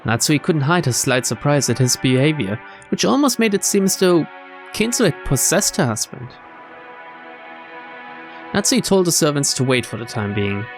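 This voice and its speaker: A man's voice: a little high, very animated, moderate pace. A man doing a game playthrough, reading visual novel narration aloud and adding his own asides. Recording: game music behind the voice.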